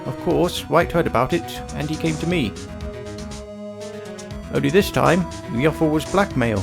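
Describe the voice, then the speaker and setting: A grave older male lawyer in a game drama, played by a man, weighed down by shame. His voice is creaky and a little high, with clear music behind him. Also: normal speed. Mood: ashamed, grave.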